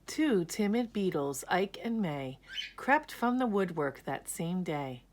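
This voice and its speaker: A woman reads a children's picture book aloud at a very unhurried pace. Her voice sits a little low, and she tells the rhymed story in an expressive, measured way.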